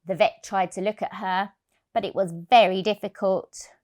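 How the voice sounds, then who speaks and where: A woman reading a picture book to young children, expressive and deliberate, stressing key words. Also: mid-range voice. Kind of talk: storybook narration for young children.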